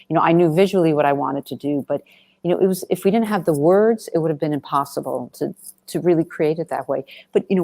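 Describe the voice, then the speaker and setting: A woman with a deep voice and plenty of vocal fry gives interview answers as a filmmaker. She sounds earnest and conversational, halting and rephrasing as she goes. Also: darkish tone. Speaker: documentary film director being interviewed.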